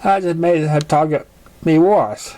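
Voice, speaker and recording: Man voicing a high-pitched young orphan pony girl. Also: fairly even intonation, dark tone, lightly acted. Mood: hurt, confiding. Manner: confessional, halting storytelling. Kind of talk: amateur fan fiction reading.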